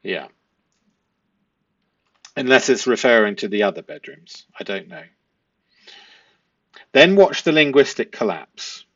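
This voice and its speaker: A man explaining a transcript analysis as a host, speaking deliberately and posing rhetorical questions. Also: mid-range voice, very animated, a bit bright, no room sound, lo-fi sound. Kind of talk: explanatory analysis of a transcript, part read aloud.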